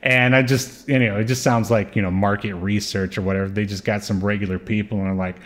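A man's voice: lowish voice, steady tone, quick pace, a bit bright. Rambling man chatting casually as podcast co-host. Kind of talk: casual chat about an old TV show.

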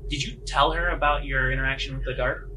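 A man running a tabletop game as game master, improvising narration informally and pausing for suspense. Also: medium pitch, steady tone, background noise. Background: music.

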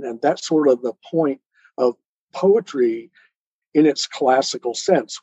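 Husky, mellow man talking on a podcast. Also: mid-range voice, no room sound. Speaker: guest author and poet. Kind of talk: reflective podcast interview talk. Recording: dull, muffled lo-fi audio.

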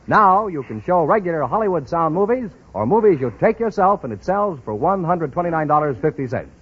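A male announcer from old-time radio speaks with a lively, swinging pitch that sits fairly high. His delivery is scripted period announcing. The recording is muffled and vintage. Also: fairly quick, husky. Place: broadcast studio.

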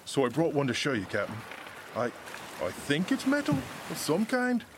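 An actor playing a nervous, deferential common sailor in an audio drama, speaking hesitantly in rough, unschooled grammar. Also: male voice, on the high side, huge pitch swings, normal speed, noisy background. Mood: uneasy, deferential.

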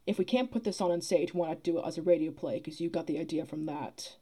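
A young woman talking casually on a podcast, halting as she thinks aloud. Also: low-pitched, dark tone. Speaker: guest, theatre producer.

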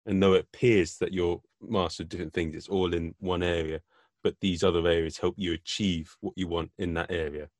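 A man's voice: low-pitched, steady tone, fairly quick, darkish tone, no room sound. A man hosting a podcast interview, asking a long, winding question. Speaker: podcast host.

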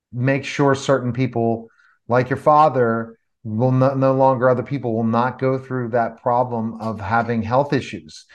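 A man introducing a podcast and interviewing its host in a friendly way, often stopping and restarting his sentences. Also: medium pitch.

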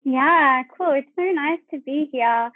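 A woman's voice: sits high, measured pace, no room sound. A woman guest on a podcast, chatting candidly and enthusiastically over a remote call, swearing freely. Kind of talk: casual chat. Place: remote call from her home at evening. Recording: dry, phone-like call line.

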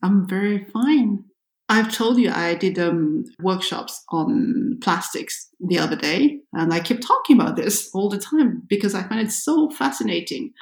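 A woman from France co-hosts a podcast in a casual, conversational style. Her voice sits at a medium pitch. The recording is roomy, with audible echo around her words. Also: lively intonation, a little slow. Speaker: podcast co-host.

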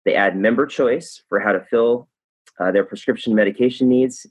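A man's voice: medium pitch, normal speed, breathy edge, no echo. A man hosting a podcast interview, upbeat and chatty, often repeating words as he talks. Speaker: podcast host.

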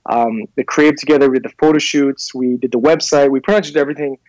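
A man's voice: mid-range voice, steady tone, quick pace, a little mellow, no echo, lo-fi sound. A man in his thirties from Canada, a clothing brand founder, telling his story in a casual interview with many fillers and restarts. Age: 30s.

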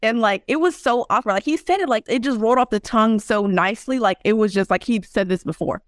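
A woman's voice: a little high, quick pace, no room sound, clean studio sound. A young woman, a guest in a casual interview, telling stories and acting out what people said. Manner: animated storyteller, acts out quotes.

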